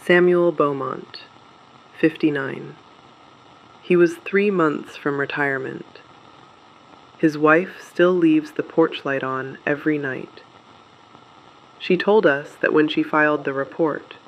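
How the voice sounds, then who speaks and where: A grave resistance broadcaster played by a voice actor reads out the missing in a dystopian audio drama. The delivery is very slow and deliberate, with a medium-pitched voice and solemn restraint. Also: highs cut off.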